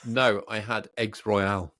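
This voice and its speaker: A man with a fairly low voice and a close, dry sound co-hosts a podcast. He chats casually, teasing and joking in an animated way. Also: unhurried, no room sound. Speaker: podcast co-host. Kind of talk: casual banter between co-hosts.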